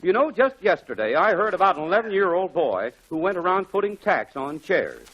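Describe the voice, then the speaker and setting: A man's voice: high voice, sing-song, a little fast, muffled recording. A man playing a jovial, joking quizmaster on a 1940s radio comedy show. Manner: jovial, corny, chuckling. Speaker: quiz show host. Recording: faintly noisy 1940s radio recording.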